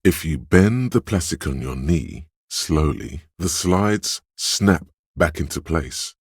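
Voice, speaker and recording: Casting: a detective's sardonic inner voice for a role-playing game. Rock-bottom register, close dry sound, erudite, gravelly delivery. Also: male voice, a bass voice, animated, slow pace, no echo, exaggerated voice. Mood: measured, wry. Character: wry, erudite inner voice narrating a detective's thoughts. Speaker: game narrator and inner-voice actor. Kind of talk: scripted second-person narration for a role-playing video game.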